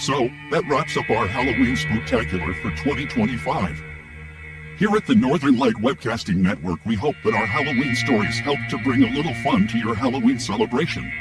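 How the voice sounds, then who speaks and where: Playful spooky-show host over music. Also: male voice, mid-range voice, huge pitch swings, brisk. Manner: playful, showy. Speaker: webcast host. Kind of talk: theatrical spooky host outro.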